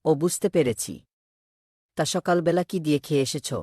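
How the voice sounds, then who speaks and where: A man voicing a kindly, concerned male schoolteacher in a cartoon, speaking gently and earnestly. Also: on the high side, normal speed, slightly rough, no room sound, clean studio sound. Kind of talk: cartoon voice acting.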